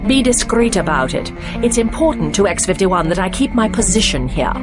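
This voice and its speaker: A woman acting as a discreet, businesslike game informant, mid-pitched with very mobile intonation. Clear music plays behind her. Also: big pitch swings, fairly quick, close to an everyday voice.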